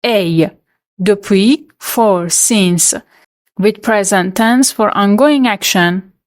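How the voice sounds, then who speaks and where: A woman reads out quiz questions and answer options at a very slow, unhurried pace. Her voice sits at an ordinary middle pitch. She delivers each choice and explanation in a steady, scripted way, as a teacher quizzing learners. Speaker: French teacher.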